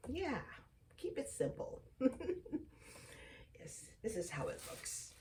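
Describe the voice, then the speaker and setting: Unhurried, mellow-voiced woman in her fifties. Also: a little high, wildly swooping pitch, recorded from a distance, a little hiss or hum. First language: Tagalog. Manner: chatty, drops into whispered asides. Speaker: vlog host. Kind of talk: casual chat.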